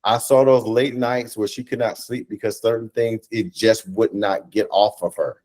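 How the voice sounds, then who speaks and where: Interview guest: American man, thirties, lower-pitched voice, conversational, heartfelt, stop-and-start phrasing, on a video call. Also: steady tone, darkish tone. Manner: earnest, halting, repeats phrases for emphasis. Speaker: guest, stage play cast or crew member. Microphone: video call microphone.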